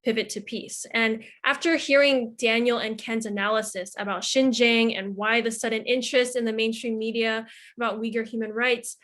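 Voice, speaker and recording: A woman's voice: fairly high pitch, fairly bright tone. A woman moderating a panel discussion in a conversational way. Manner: conversational, introducing guests.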